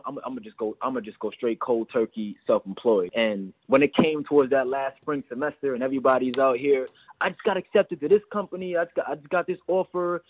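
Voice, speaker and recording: Young man talking fast over a phone line. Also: medium pitch, slightly rough, dry recording. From New York. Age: 20s. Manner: fast, earnest, repeats words. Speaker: guest entrepreneur. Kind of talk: phone interview. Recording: dry tinny phone line.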